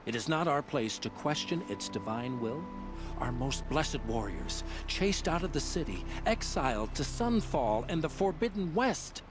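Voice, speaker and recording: A stubborn, pious old priest from a video game. He preaches solemnly and self-importantly in a fairly high voice that rises and falls dramatically. Music runs underneath. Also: sing-song, a little slow. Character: sanctimonious, stubborn male sun priest, old and zealous.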